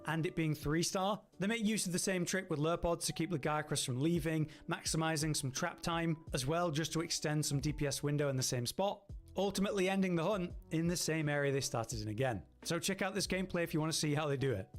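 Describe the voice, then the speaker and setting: Male gaming narrator, fairly high voice, scripted ranking commentary with faint music underneath. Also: fast.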